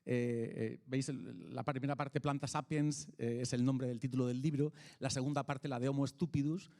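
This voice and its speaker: A man with a Peninsular Spanish accent speaks to an audience as a lecturer. His voice sits at a medium pitch. He talks conversationally and haltingly, feeling his way through phrases and often adding little questions to check in. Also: fairly even intonation, gravelly, a little mellow. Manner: conversational, halting, frequent rhetorical tag questions. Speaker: speaker, philosopher of science and author. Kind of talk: informal public lecture.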